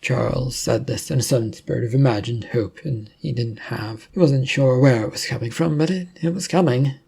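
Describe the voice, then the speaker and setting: Husky, creaky male storyteller. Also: mid-range voice, moderate pace, a little mellow. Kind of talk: informal reading of a fictional story.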